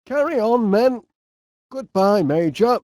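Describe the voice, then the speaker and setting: An actor playing a cheerful, eccentric middle-aged English inventor with a Northern English accent, chummy and old-fashioned in his phrasing, in an animated video game. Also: high-pitched, takes it slowly, dry recording, clean studio sound. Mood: politely encouraging.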